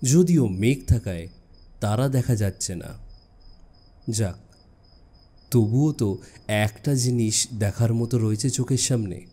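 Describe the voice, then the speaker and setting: A man narrating a suspense story dramatically, with expressive phrasing and deliberate pauses. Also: mid-range voice. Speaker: audiobook narrator.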